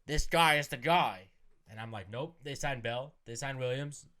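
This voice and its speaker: A man's voice: medium pitch, moderate pace, bright, forceful tone. A man co-hosting a fantasy football podcast, bantering casually in a brash, curt, sweary way.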